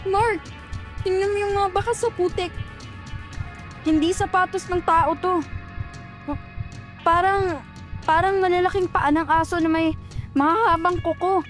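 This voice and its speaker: A woman's voice: extremely high pitch, fairly even intonation, a little slow, long pauses, soft background music. A young woman acting a frightened, breathless girl running from a monster in a horror audio drama. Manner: panicked, pleading.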